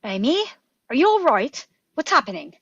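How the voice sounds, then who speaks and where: A woman playing a quick-thinking, commanding adventurer doctor in a script read, with a husky voice and urgent manner. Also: high voice, big pitch swings, a bit bright, highs cut off, exaggerated voice.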